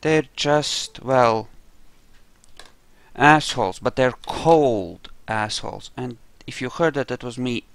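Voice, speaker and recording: A man's voice: mid-range voice, slow pace, creaky, smooth, no room sound. A man giving casual, conversational commentary as he plays a video game, with a sweary streak. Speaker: gamer, playthrough host.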